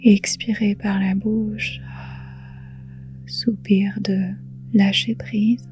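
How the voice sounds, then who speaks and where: A woman guiding a relaxation meditation in a soothing, hushed, breathy voice, leaving long pauses between phrases. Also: medium pitch, flat delivery, faint music behind. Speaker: yoga nidra guide.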